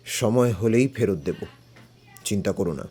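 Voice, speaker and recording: A man playing a calm, shrewd, fearless older detective in an audio story, composed and reassuring. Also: on the low side, moderate pace, slightly rough, soft background music. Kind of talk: Bengali audio story acting.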